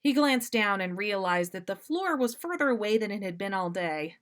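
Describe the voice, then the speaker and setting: A woman hosting a story podcast, reading fan fiction aloud in an expressive, animated way with dramatic pauses and casual asides. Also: mid-range voice. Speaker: podcast host reading listener stories.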